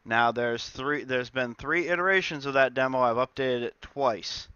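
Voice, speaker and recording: A man's voice: mid-range voice, moderate pace, pushed and bright, clear, resonant tone, dry recording, dull audio. A man with a slight cold, chatting casually through his game developer diary with lots of filler words. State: stuffed up with a cold. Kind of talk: casual dev diary talk.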